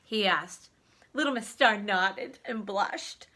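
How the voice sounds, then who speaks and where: A woman reading a story aloud to young children, expressive, with dramatic emphasis and questions to the listener. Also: mid-range voice, slow.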